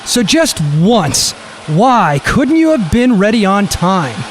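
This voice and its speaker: Very high-pitched, impatient husband acted by a man. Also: very animated, slow pace, background noise, heavily acted. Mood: exasperated. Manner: huffy, insistent. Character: impatient, fussy, self-righteous husband driving a car. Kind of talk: radio drama acting.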